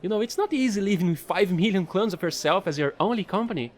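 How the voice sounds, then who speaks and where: Guy doing a chatty fallen angel space pilot, high voice that swoops around, playful audio roleplay. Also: lively intonation, fast talker. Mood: wistful. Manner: rambling, playful, pleading, casual slangy talk. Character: offbeat fallen angel space trader, non-binary, eager and chatty. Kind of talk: audio roleplay monologue addressed to the listener.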